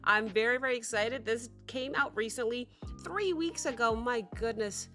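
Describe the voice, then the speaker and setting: A woman in her thirties hosting a music reaction, chatting excitably with rambling restarts while still sick with a cough. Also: a little high, moderate pace. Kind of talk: casual chat to camera.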